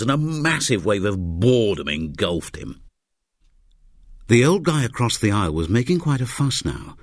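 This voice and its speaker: A man reading fiction aloud as a narrator, with wry, expressive delivery. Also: lowish voice, no room sound, clean studio sound. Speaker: audiobook narrator.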